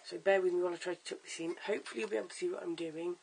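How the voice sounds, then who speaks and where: Croaky, hoarse voice with gravelly fry. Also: mid-range voice, fairly even intonation, racing, darkish tone, slight background noise, rough recording. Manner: chatty, stop-start with fillers and self-corrections. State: hoarse, lost voice. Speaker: wig tutorial vlogger. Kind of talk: casual how-to demonstration. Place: at home in front of a camera.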